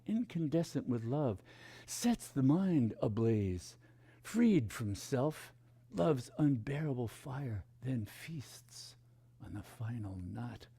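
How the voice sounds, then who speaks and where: Male spiritual teacher, contemplative reading and teaching. Husky, creaky voice, hushed delivery, deliberate pauses. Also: medium pitch. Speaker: meditation teacher.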